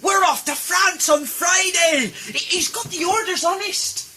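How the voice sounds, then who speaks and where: A young man playing an excitable, naive First World War recruit in a radio play. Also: extremely high pitch, a little slow, slight background noise, heavily acted. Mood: breathless excitement. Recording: loud music in radio drama.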